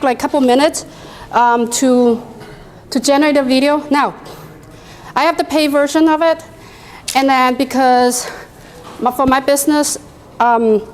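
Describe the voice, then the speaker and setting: Chatty high-voiced woman with an accent. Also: slight background noise. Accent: non-native English accent. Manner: chatty, animated, laughs often, talks to the audience directly. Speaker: workshop presenter. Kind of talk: live software demo to a room.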